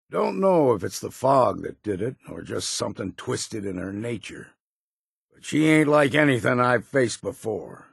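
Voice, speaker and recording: A gruff older island hunter for a video game. The voice is heavily gravelled and husky, swinging freely in pitch, with wary, stubborn pride and a folksy rustic turn of phrase. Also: male voice, no echo, clean studio sound, heavily acted. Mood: grim resolve. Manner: folksy rustic speech with dropped grammar and ain't. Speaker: game character voice actor. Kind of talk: video game dialogue acting.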